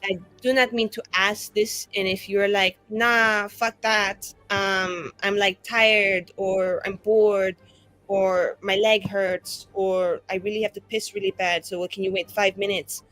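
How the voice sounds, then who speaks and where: A woman's voice: medium pitch, little pitch movement, unhurried, fairly bright tone, no room sound, voice pushed down, lightly acted, low-quality recording. A woman playing a tipsy, chatty young mage in a tabletop game, rambling and effusive in informal language. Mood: apologetic, rambling.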